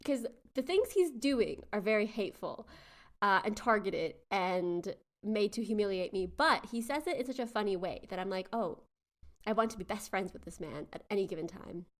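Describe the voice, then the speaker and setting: A woman's voice: mid-range voice, animated, normal speed. A woman co-host chatting casually and informally, often acting out imagined reactions. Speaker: podcast co-host. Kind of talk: casual chat.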